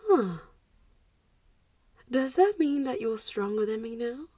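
A woman's voice: a little high, expressive, slow, dark tone, no room sound, far from the mic. A woman voicing an anxious, protective popular vampire schoolgirl in an audio roleplay, hesitant and reassuring as she speaks to the listener. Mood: brisk, puzzled.

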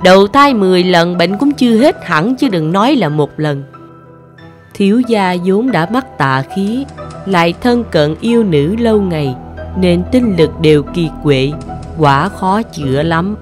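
A woman's voice: fairly low pitch, lively intonation, a little slow. A stern Taoist priest voiced by a woman in a cartoon folk tale. The delivery is solemn and admonishing, with music behind it. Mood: solemn warning. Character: stern male Taoist priest, bearded exorcist.

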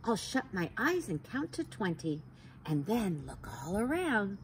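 A woman in her forties reading a picture book aloud to young children outdoors, slow and sing-song, sounding out letters and gasping for effect. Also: medium pitch, sing-song, slight background noise, poor audio. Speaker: storytime reader for children. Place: backyard patio outdoors by a wooden fence.

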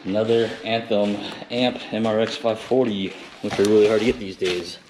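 A man's voice: on the low side, steady tone, moderate pace. A man giving an informal, off-the-cuff walkthrough as an installer hosting a job-site vlog, recorded indoors on a handheld camera. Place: large open living room with high ceiling, indoors. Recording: clearly noisy camera audio, clicks.

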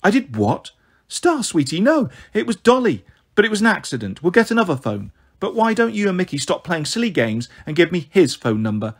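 A man's voice: sits high, sing-song, fairly quick, pitched above the performer's own voice, exaggerated voice. Man voicing a fragile, volatile mother. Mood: coaxing. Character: volatile, fragile mother, brightly coaxing or defeated.

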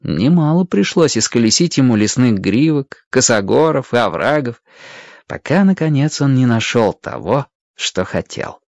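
A man reads a dramatized storybook tale as narrator. His delivery is expressive, with lively, varied intonation. The sound is dry, with little room around the voice. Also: medium pitch, moderate pace, creaky, no room sound, lo-fi sound.

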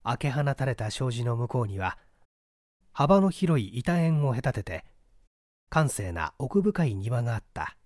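A man narrates literary mystery fiction like a stage actor. His voice is medium in pitch with lively, wide-moving intonation. The recording is dry, free of room sound. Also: unhurried, no echo. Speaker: audiobook reader and stage actor. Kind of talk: dramatic scripted literary narration.

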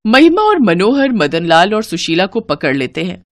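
Female narrator for cartoon tales: dramatic scripted storytelling, animated pitch, dry recording. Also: moderate pace, no room sound.

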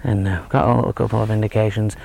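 A man in his thirties giving a relaxed, hesitant fishing tutorial outdoors by a lake, with lots of fillers and restarts. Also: lowish voice, fast, creaky. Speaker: angling instructor. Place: outdoors at a lakeside fishing spot. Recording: faint outdoor background noise. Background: faint outdoor ambience.

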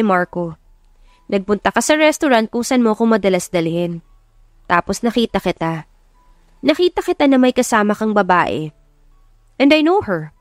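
A woman's voice: a little high, no echo, clean studio sound. A woman voicing an angry, defiant young woman hurt by a cheating boyfriend, emphatic and accusing, in an audiobook romance drama.

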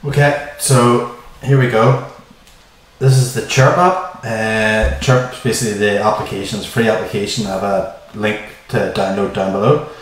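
A man's voice: fairly low pitch, level tone, moderate pace. A man, giving a casual, plain-spoken how-to explanation as the host of a video. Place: small bare-walled room indoors. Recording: echoey small room, distant mic, faint noise.

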